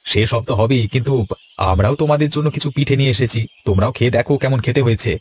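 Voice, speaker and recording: A man's voice: medium pitch, racing, husky, close to an everyday voice. A man playing a kindly, hearty village uncle in a cartoon, reassuring and avuncular. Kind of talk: cartoon voice acting. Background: instrumental music.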